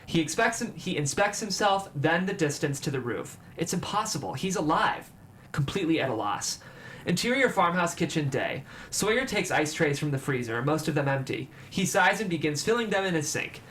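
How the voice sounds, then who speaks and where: A man's voice: on the high side, sing-song, moderate pace, a bit bright. A man reading scripted stage directions as the narrator of a table read. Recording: clear background noise.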